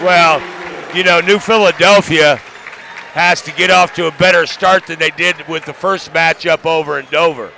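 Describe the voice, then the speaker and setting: An American man with an extremely gravelly, forceful voice gives pre-game basketball analysis as a coach. A light crowd murmurs behind him in the gym. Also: on the high side, fairly even intonation, normal speed, a little hiss or hum, low-quality recording.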